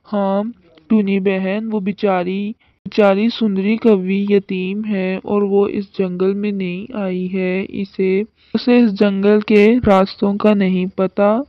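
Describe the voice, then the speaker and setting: Slow-talking smitten male crow played by a woman, echoey. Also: mid-range voice, little pitch movement, close to an everyday voice. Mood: defensive, coaxing. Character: smitten, sly male crow, eager to please. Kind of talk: Hindi cartoon story dialogue. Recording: muffled lo-fi cartoon audio with echo.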